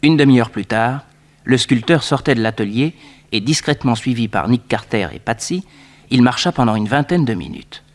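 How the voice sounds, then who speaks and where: Male narrator for a radio serial, medium-pitched, scripted storytelling delivery. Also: lively intonation, moderate pace.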